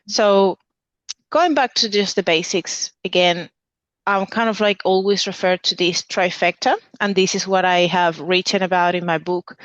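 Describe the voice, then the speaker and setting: A woman in her thirties from Venezuela talks earnestly through her points at an unhurried pace, often restarting her sentences. Her voice is smooth and clear. The recording is dry and a little dull. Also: medium pitch, a bit bright, dry recording. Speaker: dietitian guest. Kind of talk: conversational interview answer.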